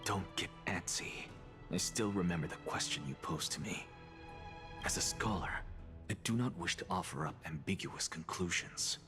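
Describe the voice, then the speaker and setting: A man's voice: deep voice, lively intonation, moderate pace. A man playing a confident, theatrical scholar in a fantasy video game, speaking in an assured, pedantic manner. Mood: assured, lecturing. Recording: loud background music.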